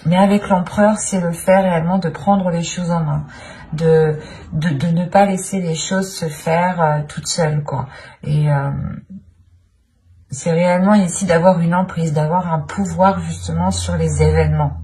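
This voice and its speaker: A woman's voice: lowish voice, fairly even intonation, normal speed, mellow. A woman giving an improvised tarot reading as a channel host, speaking in a searching way and often repeating her phrases.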